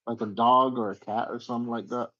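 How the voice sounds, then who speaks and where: A man's voice: mid-range voice, steady tone, quick pace, fairly bright tone, no echo, lo-fi sound. A man hosting a casual livestream interview, slangy and agreeable, asking questions in a laid-back way.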